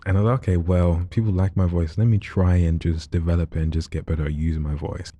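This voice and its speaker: A man speaking as a podcast guest in a quiet, calm, soft-spoken way while telling his story. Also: low-pitched, fast, no echo. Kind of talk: relaxed conversational storytelling.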